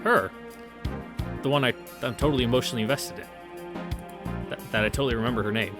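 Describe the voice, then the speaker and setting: A man's voice: medium pitch, fast talker. A man reading a visual novel aloud in a casual way, dropping sarcastic, sweary asides. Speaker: let's play host reading aloud. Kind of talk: casual read-through narration with wry asides. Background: game music.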